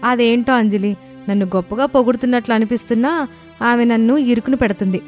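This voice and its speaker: A woman playing a sensible, patient elder daughter-in-law in an animated story, reasoning and placating. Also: fairly high pitch, fast, natural voice. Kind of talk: animated moral story dialogue. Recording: voice over prominent music bed.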